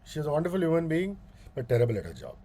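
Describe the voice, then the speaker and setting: A man with a booming voice and an Indian English accent, giving a public speaking workshop as a guest speaker. He talks slowly and deliberately, with long pauses and repeated demonstrations. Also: wildly swooping pitch, mellow, a little hiss or hum.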